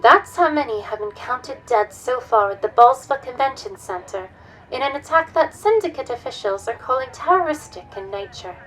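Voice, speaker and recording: A woman acting as a news anchor in a sci-fi radio play. Her voice is high and serious, reading dramatically, and sounds set back from the mic. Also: animated, normal speed, a bit bright, recorded from a distance, faint music behind, low-quality recording. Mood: grave, urgent. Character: serious female television news reporter. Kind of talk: news bulletin in audio drama.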